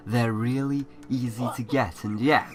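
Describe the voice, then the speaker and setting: A man's voice: mid-range voice, slow pace. A young man doing let's play commentary, slightly sick and stuffy, chatty and rambling with casual swearing. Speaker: gaming YouTuber. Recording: loud game music behind.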